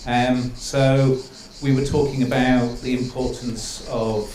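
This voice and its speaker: A man moderating a panel, speaking in a measured, reflective way with pauses and ums. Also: medium pitch, little pitch movement, darkish tone, reverberant, a little hiss or hum, poor audio.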